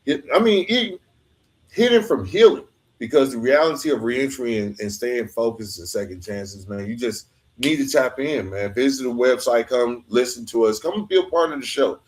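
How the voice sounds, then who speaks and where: A man's voice: medium pitch, animated, normal speed, rough recording. A man hosting a conversational interview podcast, speaking in an earnest, informal, colloquial way. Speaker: podcast host.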